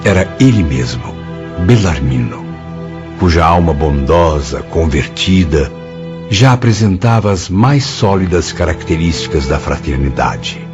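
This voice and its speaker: A man's voice: low-pitched, lively intonation, measured pace, music in the background. A man with a Brazilian accent giving solemn first-person narration in a radio drama. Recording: muffled lo-fi audio.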